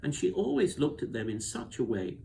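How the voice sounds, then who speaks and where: A man narrates a children's storybook in an expressive read-aloud style. His voice sits at a middle pitch, and there is some background noise on the recording. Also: normal speed, gravelly, poor audio.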